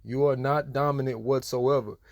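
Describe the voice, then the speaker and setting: A man's voice: mid-range voice, steady tone, moderate pace, a little mellow, dry recording, studio quality. A man with an American accent giving preachy reaction commentary in an admonishing, repetitive and emphatic manner. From United States. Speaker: religious commentator.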